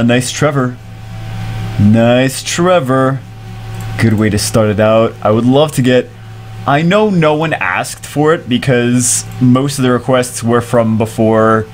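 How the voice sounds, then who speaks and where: A man in his thirties with a congested, stuffy voice, chatting casually and excitably as a gaming streamer while he rambles and repeats himself, recorded on a headset in a small room at home. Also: mid-range voice.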